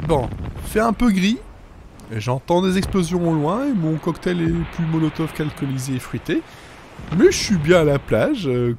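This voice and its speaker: A man's voice: mid-range voice, darkish tone, slight background noise. A man playing a bewildered, sarcastic storyteller in a comic audio fiction, rambling in the first person, interrupting himself and addressing the listener. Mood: surprised, delighted. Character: bewildered, sarcastic male storyteller stranded inside his own wild ideas. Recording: music bed behind the voice. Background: music.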